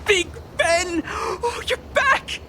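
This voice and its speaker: A man's voice: very high-pitched, expressive, drawn-out words, very noisy, full character voice. A man playing a grateful, emotional townsperson in a video game, with an effusive, earnest delivery. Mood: overjoyed. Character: grateful, emotional robot owner. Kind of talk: animated video game voice acting.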